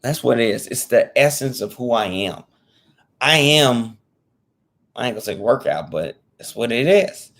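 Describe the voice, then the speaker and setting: A man's voice: mid-range voice, animated, a little slow, vocal fry, a bit bright. A man in his thirties talking casually to camera as a fitness vlogger, earnest and repetitive, restating his points in informal slang. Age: 30s.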